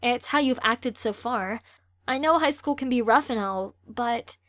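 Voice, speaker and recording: Friendly high-voiced teenage girl, acted by a woman. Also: unhurried, fairly bright tone, dry recording. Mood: teasing reproach. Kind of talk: amateur audio drama acting.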